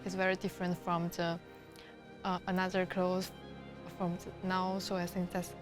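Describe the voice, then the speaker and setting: A woman's voice: lowish voice, completely flat delivery, moderate pace, music in the background. A woman from China whose first language is Chinese, answering a documentary interview hesitantly and searching for words in English.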